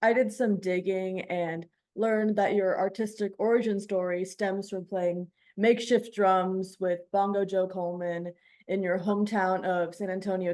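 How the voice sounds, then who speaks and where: A woman's voice: mid-range voice, a little mellow. A woman hosting a radio interview, reading prepared questions in an articulate, polished way.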